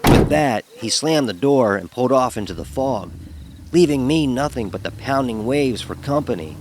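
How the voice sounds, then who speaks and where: A man telling a first-person horror story in a dramatic, expressive narrating style. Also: mid-range voice, moderate pace, background noise. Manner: expressive, acts out dialogue.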